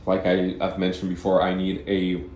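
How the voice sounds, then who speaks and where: Deep-voiced guy musing about spirituality, low and slow, echoey room. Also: monotone, darkish tone, slight background noise. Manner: halting, restarts often, repeats phrases. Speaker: vlogger. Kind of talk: rambling spiritual self-help monologue.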